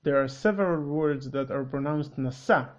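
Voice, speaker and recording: A man's voice: a little high, moderate pace. Curt native Hebrew-speaking man, dull audio. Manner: curt, corrective, matter-of-fact. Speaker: commentary host. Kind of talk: scripted rebuttal narration.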